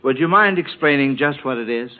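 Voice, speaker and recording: A man's voice: medium pitch, expressive, fairly quick, narrow, tinny audio. An American man hosting a quiz show in a scripted 1940s radio announcing style, on an old broadcast recording.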